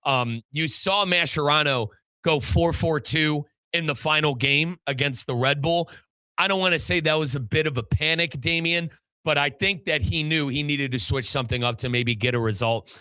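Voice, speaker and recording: A man's voice: a little high, moderate pace, pushed and bright, smooth, no echo. A man hosting a soccer talk show, giving emphatic, opinionated analysis. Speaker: sports talk show host.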